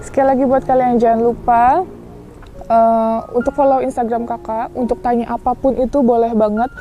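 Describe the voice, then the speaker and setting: Woman, fairly high pitch, soft mellow tone, humble vlog host, casual and polite. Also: flat delivery, normal speed, faint music behind. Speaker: YouTube host, interviewer.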